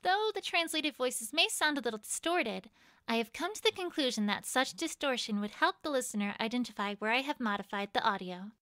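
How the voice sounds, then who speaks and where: Woman playing a high, bright, bookish unicorn pony. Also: lively intonation, fairly quick. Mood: formal, explanatory. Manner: earnest, precise, bookish. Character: studious, eager female unicorn pony scientist and translator. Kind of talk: audio drama acting.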